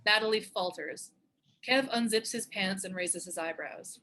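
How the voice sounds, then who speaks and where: A woman's voice: mid-range voice, a little slow, pushed and bright, a little hiss or hum, rough recording. A woman narrating the stage directions of a screenplay in a scripted read.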